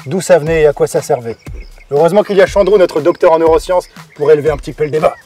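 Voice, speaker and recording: A quick, slightly high-voiced man hosting an online show, with a brisk, teasing delivery. Faint music sits beneath his narration. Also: warm tone. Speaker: show host. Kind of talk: scripted narration and casual chat.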